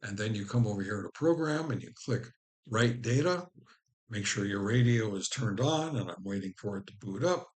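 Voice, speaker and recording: A man hosting a casual how-to video, talking informally and somewhat haltingly as he explains each step. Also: fairly low pitch, animated. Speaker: host of a ham radio how-to channel. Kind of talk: casual tutorial narration.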